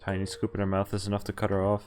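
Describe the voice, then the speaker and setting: A man's voice: fairly low pitch, completely flat delivery, rapid-fire. A man streaming a game, reading its story text aloud casually, stumbling over the odd word and breaking off to comment. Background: game music and sound effects.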